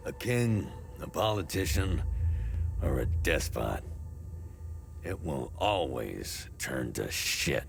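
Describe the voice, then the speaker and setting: Gravelly, world-weary survivor. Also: male voice, rumbling low, sing-song, faint music behind, heavily acted. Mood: cynical, grim. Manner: grim, world-weary, deliberate. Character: weary, bitter, devout middle-aged man in a war-torn country. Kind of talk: dramatic video game dialogue.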